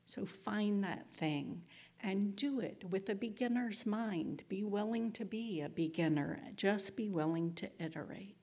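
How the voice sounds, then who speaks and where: Slow, husky woman giving a talk. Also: mid-range voice, dark tone. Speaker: community member giving a teaching talk. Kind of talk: inspirational talk to a community, partly from notes.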